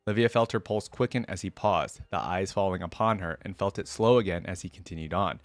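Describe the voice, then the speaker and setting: A man hosting a reaction video, reading a fantasy story aloud in an expressive style with casual asides. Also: deep voice, brisk, dry recording.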